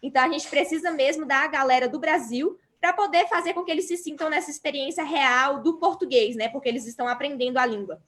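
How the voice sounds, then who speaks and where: High-voiced Brazilian woman panelist. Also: fast talker, hardly any pauses, a bit bright. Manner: earnest, explanatory, frequent hesitations. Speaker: graduate student panelist. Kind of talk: panel talk answering a moderator.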